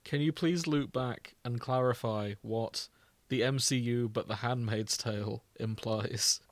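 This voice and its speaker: A man's voice: medium pitch, steady tone, measured pace, no room sound. Wry young man in dry, close sound. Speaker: podcast co-host. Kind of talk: casual banter.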